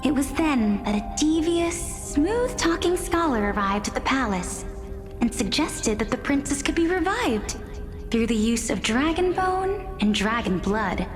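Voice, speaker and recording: Playful young scholar, high slow voice, giggly storyteller, game music underneath. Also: female voice, sing-song. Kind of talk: game voice acting. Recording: game dialogue over music.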